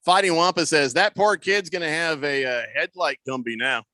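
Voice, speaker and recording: A man's voice: a little high, moderate pace, sharp tone, dry recording. A man hosting a sports radio talk show, telling stories and reading listener comments in casual banter. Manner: storytelling, reads listener comments aloud.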